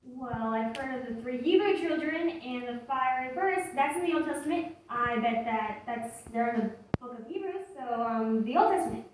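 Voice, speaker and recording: Woman doing a lazy churchgoer puppet, highish voice, sheepish and making excuses, very echoey recording. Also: animated, moderate pace, few pauses. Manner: hesitant, defensive, naive. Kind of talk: church puppet show dialogue. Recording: echoey room, distant mic, faint noise.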